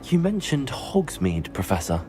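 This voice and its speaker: A man playing a polite, curious teenage student wizard in a fantasy game, with an earnest, measured manner. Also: mid-range voice, very animated, over music. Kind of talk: scripted game dialogue.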